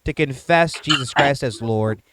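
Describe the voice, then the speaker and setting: A Protestant man hosting a casual debate, talking in a steady, measured, explanatory way. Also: mid-range voice, background noise.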